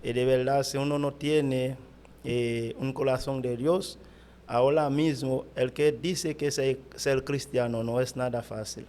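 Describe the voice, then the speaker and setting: Haitian pastor, halting Spanish, mellow voice. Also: male voice, mid-range voice, steady tone. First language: Haitian Creole. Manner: halting, searching for words in Spanish. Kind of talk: interview answers.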